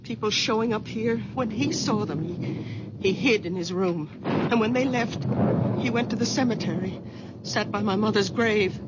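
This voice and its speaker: A woman's voice: mid-range voice, moderate pace, very noisy, natural voice. An actress playing the worried, protective grown daughter of an old man in a 1970s radio drama. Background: thunder and storm.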